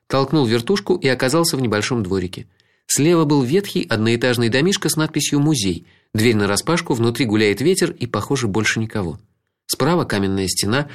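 Brief: male narrator with a mid-pitched, animated voice, wry reflective storytelling. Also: a little fast. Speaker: audiobook narrator. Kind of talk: first-person literary narration.

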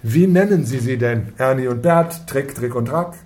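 A man's voice: mid-range voice, moderate pace, a little mellow, close to an everyday voice. Man as an impatient, pushy police detective. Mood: impatient, sarcastic. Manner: sarcastic, demanding. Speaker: actor. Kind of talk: naturalistic radio crime drama acting.

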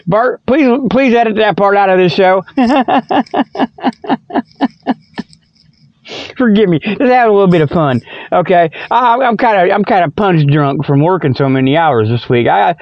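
High pitched guy rambling and joking like a folksy radio host, muffled dry lo-fi sound. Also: a little slow, long pauses, dry recording. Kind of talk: rambling comic monologue. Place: inside a truck. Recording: dry, dull lo-fi audio.

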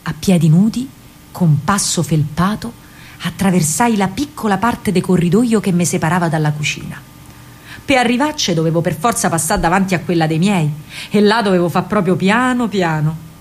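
A woman's voice: fairly low pitch, moderate pace, darkish tone, lightly acted. An actress playing a spirited young working-class Roman woman, a wartime partisan courier, telling her story in an earthy, vivid dramatic monologue with a Roman accent. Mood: hushed, careful. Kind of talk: dramatic first-person monologue in Roman vernacular.

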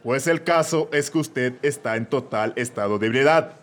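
A man's voice: medium pitch, moderate pace, close to an everyday voice. A man with a Mexican accent voicing an irritable judge who barks orders in a comedy radio drama. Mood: exasperated. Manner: exasperated, barking orders. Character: irritable, bossy male judge.

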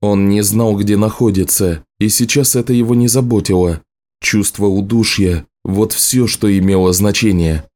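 Low, mellow male audiobook narrator. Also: steady tone. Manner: measured, serious storytelling. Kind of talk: scripted literary narration.

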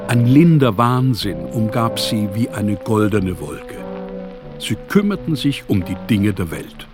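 An actor playing a wry, proud, bitter writer recalling his life in a literary radio play, speaking in measured, formal phrases. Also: fairly low pitch, big pitch swings, music in the background. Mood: wistful.

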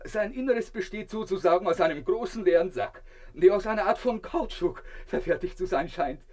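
A man's voice: high voice, animated, moderate pace. An actor in a radio play voicing a vain, blissfully self-satisfied man who lectures pompously from inside a crocodile. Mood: smug, lecturing. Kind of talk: theatrical radio play acting.

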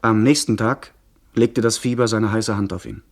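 Measured, sober young gentleman storyteller, male actor. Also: on the low side, fairly even intonation, close to an everyday voice. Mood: grave, measured. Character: young gentleman narrating his sea adventure, sober. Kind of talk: scripted radio play narration.

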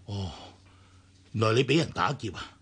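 Gravelly, husky, fussing older uncle. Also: male voice, fairly low pitch, expressive, moderate pace, fairly bright tone, heavily acted. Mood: relieved, insistent. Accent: Hong Kong Cantonese. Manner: soothing, fatherly, hesitant. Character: kindly, fussing older uncle, anxious and insistent. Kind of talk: Cantonese radio drama acting.

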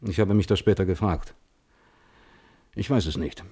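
An actor playing a guarded, reflective physicist on the witness stand in a German radio play, answering tersely and precisely. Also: male voice, sits low, normal speed, warm tone, husky. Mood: troubled, reflective. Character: measured, guarded male physicist testifying as witness. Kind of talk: German radio play acting, hearing testimony.

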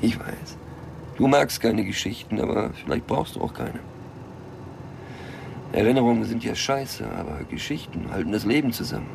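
An actor playing a cynical, nervy small-time cocaine dealer in a German crime radio drama, laconic and sardonic with slangy talk. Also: male voice, mid-range voice, gravelly, noisy background. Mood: weary, laconic.

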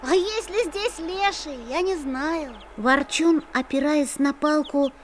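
A woman voicing a young boy who narrates a musical audio play with gentle storytelling. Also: high-pitched, slow pace, slight background noise.

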